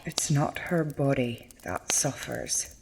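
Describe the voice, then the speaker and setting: Actress as a slow-spoken, solemn nun. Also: female voice, a bass voice, vocal fry, noisy background, full character voice. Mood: grave, ominous. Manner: measured, formal, solemn. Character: calm, devout convent nun, wry and gravely insistent. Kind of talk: horror audio drama acting.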